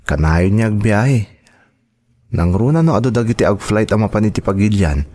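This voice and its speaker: A man's voice: on the low side, lively intonation, moderate pace, darkish tone. A man with an Ilocano accent acting a trusting, devoted young son and partner in an audio drama, speaking earnestly and reassuringly. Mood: hopeful, reflective.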